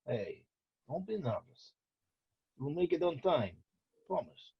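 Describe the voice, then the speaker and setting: Flippant young boyfriend played by a man, lively pitch. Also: slow pace, husky, full character voice. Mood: teasing. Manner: flippant, needling. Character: scruffy, teasing, reckless 28-year-old boyfriend. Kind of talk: amateur script table read. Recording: dull lo-fi recording.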